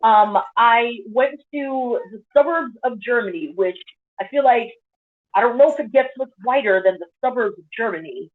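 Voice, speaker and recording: Woman instructor, lively anecdotal panel talk, a touch high, thin phone-like call sound. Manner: animated storyteller, quick asides. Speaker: pole dance instructor. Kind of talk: casual panel discussion. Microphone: video call. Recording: tinny phone-like video call audio.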